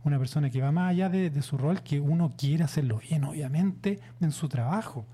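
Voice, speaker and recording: A man, a psychologist guest explaining calmly on radio, with many hesitant fillers. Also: fairly high pitch, husky. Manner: measured, many "eh" fillers and "¿no es cierto?" tags.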